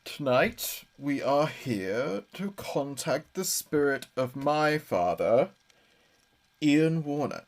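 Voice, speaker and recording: A grieving son, acted by a man, speaks extremely slowly in a slightly high male voice. His solemn, halting invocations carry desperation, in the manner of a horror audio drama séance. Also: expressive. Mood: solemn, intense.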